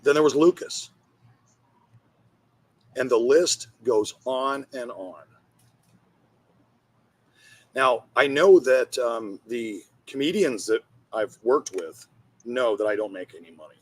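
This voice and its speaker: A man giving a personal monologue in a husky voice, blunt and profane, pausing between phrases. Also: medium pitch. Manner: blunt, profane, halting with pauses. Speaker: comedian and charity founder.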